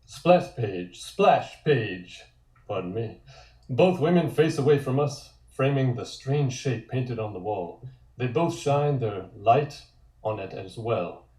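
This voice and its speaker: A man narrates a comic script's scene directions. His voice is mid-pitched and mellow, recorded in an echoey room. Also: expressive, slow. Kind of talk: reading comic panel directions at a table read.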